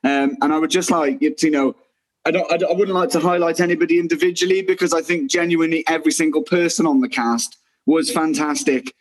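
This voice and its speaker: An earnest man in a casual reunion chat, thanking everyone with plenty of restarts. His voice sits a little on the high side. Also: steady tone, fast, low-quality recording. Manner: earnest, grateful, with many restarts. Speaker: cast member.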